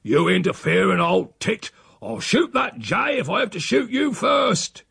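A man voicing a wily, bedridden old countryman, gruff and short of breath, with a slow, gravelly drawl. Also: a little high, wildly swooping pitch, no room sound, studio quality, exaggerated voice. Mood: furious.

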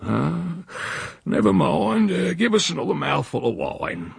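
Husky, bawling old country rogue. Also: male voice, fairly high pitch, huge pitch swings, measured pace, dry recording, clean studio sound, voice pushed up, heavily acted. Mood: gruff, evasive. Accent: rural English. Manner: goading, blunt, dialect-speaking. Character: bawling, cantankerous, roguish old country uncle.

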